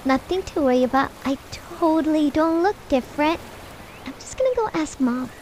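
A woman's voice: high-pitched, animated, moderate pace, a little mellow, a little hiss or hum, poor audio. A woman voicing a stubborn, sassy young daughter in an amateur cartoon roleplay, with a dramatic manner. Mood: excited. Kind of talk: amateur voiced family roleplay.

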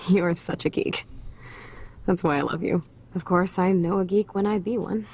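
A sardonic punk teenage girl from a video game, husky and a little low. Her lines come with a dry, teasing bite. The voice keeps a rough, grainy texture throughout. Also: steady tone, measured pace, a little mellow.

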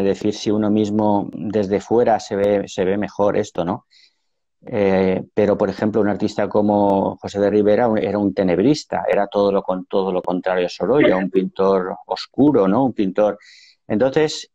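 A man in his fifties with a Valencian Spanish accent talks over a video call, his low voice rough with fry and mellow in tone. He answers slowly, halting and restarting as he thinks. The sound is dry but a little dull and muffled. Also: no room sound. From Valencia, Spain. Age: 50s. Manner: hesitant, many restarts and fillers. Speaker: painter, guest. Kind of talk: reflective interview answers. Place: room at home.

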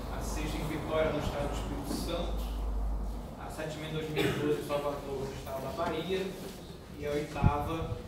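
A very reverberant, distant recording with loud noise carries a Brazilian man's voice. He is a speaker at an academic conference, formally talking through slides in a lecture room. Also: on the high side. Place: lecture room with an audience, indoors. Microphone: distant camera in the audience. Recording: very echoey, noisy room recorded from afar.

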